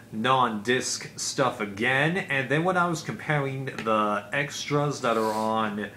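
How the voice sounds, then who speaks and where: Male vlog host, mid-pitched, casual and rambling explainer, noticeable noise behind the voice. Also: sharp tone. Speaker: video host, DVD collector. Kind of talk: casual unscripted product review talk. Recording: clear background noise.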